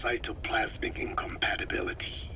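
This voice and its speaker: An actor playing a grave, learned old scientist in a game, explaining deliberately in a rasping, breathy half-whisper. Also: male voice, lightly acted. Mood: grave, explanatory. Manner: didactic, deliberate. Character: elderly male parasite scientist, learned, measured, grave. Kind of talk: expository dialogue in dramatic game voice acting.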